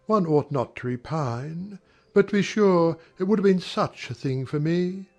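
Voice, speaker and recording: A woman voicing a smooth-talking, self-pitying young gentleman in a dramatized audiobook, wistful and mannered. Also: lowish voice, lively intonation, measured pace, soft background music. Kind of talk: dramatized audiobook character acting.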